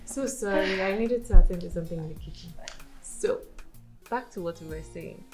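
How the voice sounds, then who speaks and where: An actress playing a caring sister who chats easily and panics quickly. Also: female voice, lowish voice, over music, lightly acted. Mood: chatty. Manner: chatty, quick to cry out for help.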